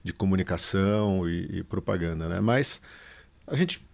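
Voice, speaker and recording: A middle-aged man speaks in a low, gravelly voice. He talks loosely and at ease, filling his sentences with little hesitations and drawn-out pauses as he tells his story as an interview guest. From São Paulo, Brazil. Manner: relaxed, many fillers, drawn-out pauses. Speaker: guest, advertising agency founder. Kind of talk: casual conversational interview.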